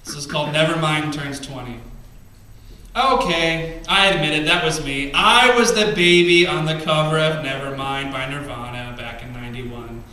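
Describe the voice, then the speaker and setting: Male spoken word poet, thirties, bright edge, punchy rhythmic reading into a stand mic, heard from the back of a boomy cafe. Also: normal speed. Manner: emphatic, rhythmic poetry delivery with quiet asides between poems. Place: small cafe stage. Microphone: stand mic, far camera. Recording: echoey venue, camera from far off.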